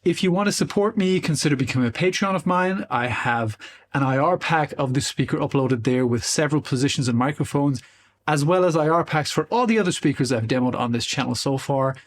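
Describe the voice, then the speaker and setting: A man's voice: on the high side, a little fast. A man hosting a guitar speaker demo channel, talking casually to camera, sounding nasal as he comes down with a cold. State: coming down with a cold.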